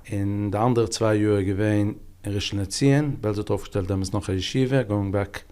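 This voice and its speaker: Podcast guest: man, 40s, from England, low and steady, unhurried storyteller. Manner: calm, unhurried, mixes languages freely. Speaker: podcast guest, business leader. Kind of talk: relaxed interview storytelling.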